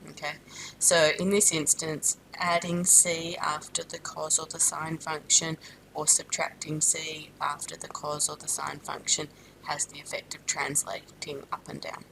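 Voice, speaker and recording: A woman from Australia talks through a maths lesson, her nose stuffed up from a sinus infection. Her voice sits low with a gravelly edge, and she explains steadily with frequent ums and self-corrections. A rumbling background noise runs under the recording. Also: level tone, unhurried, fairly bright tone, low-quality recording. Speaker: maths teacher.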